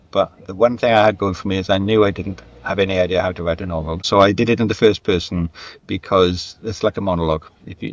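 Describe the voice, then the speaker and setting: A man's voice: sits low, dry recording. A man being interviewed as a guest writer, telling anecdotes conversationally and often repeating words as he feels his way through an explanation. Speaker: guest, screenwriter and novelist.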